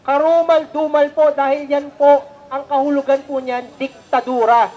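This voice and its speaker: A Filipino woman makes an earnest political statement. Her voice is high-pitched. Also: little pitch movement, moderate pace, warm tone, poor audio. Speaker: political speaker.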